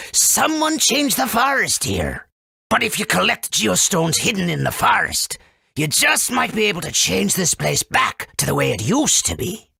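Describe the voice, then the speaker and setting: A man voicing a proud, helpful, folksy forest creature in a video game, with a raspy, creaky voice. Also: sits high, bright, forceful tone, no echo, studio quality, full character voice. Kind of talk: video game character acting.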